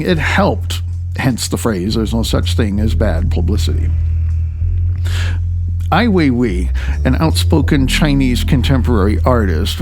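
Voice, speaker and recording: A man from the United States reading his own book as an author, in a halting, opinionated way with loose spoken asides. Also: mid-range voice, vocal fry, darkish tone. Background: faint music.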